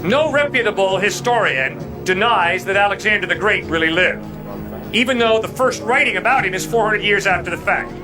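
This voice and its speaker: A man with a distinctly high voice argues like an impassioned street apologist. He is emphatic and colloquial, leaning on rhetorical questions. Music plays clearly behind him. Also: expressive, normal speed, rough recording. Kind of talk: impassioned open-air apologetics debate.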